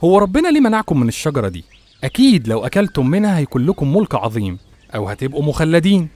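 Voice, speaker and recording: An actor with an Egyptian accent playing Satan as a sly, coaxing tempter in an animated religious story. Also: male voice, fairly high pitch, very fast. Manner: coaxing, insinuating, falsely sincere.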